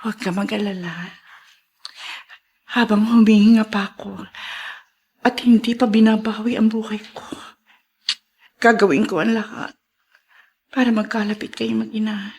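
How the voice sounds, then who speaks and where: An actress playing a gravely ill, repentant and gentle mother in a melodrama, speaking haltingly and tearfully. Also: medium pitch, fairly even intonation. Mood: weak, repentant. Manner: halting, emotional delivery. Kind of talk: Filipino melodrama acting.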